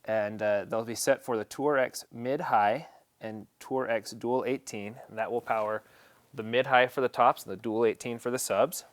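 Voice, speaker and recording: A man's voice: medium pitch, steady tone, moderate pace, dry recording. A man presenting an instructional equipment setup tutorial, explaining each step in a plain, practical way.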